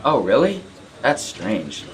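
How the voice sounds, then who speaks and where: A man's voice: lowish voice, huge pitch swings, takes it slowly, slightly rough, heavily acted, poor audio. A man acting a friendly, curious café worker in an amateur radio play, full of wide-eyed questions. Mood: surprised, curious.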